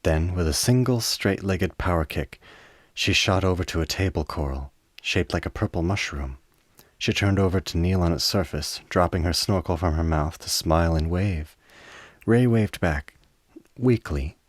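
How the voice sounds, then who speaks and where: Deep-voiced male narrator, dry sound. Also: no echo. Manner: measured storytelling. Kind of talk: scripted dramatic narration.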